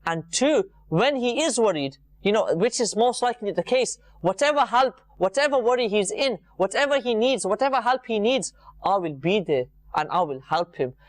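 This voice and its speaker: A man's voice: sits high, animated, moderate pace, no room sound. A man giving an informal, earnest religious talk to viewers, repeating phrases for emphasis. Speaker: religious programme presenter.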